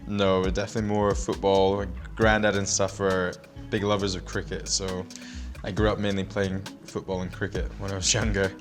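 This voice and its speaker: A man in his twenties, a guest athlete in casual chat in a hair salon, relaxed and self-deprecating, laughing often. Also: deep voice, a little fast, hardly any pauses, soft background music. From Portugal. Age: 20s.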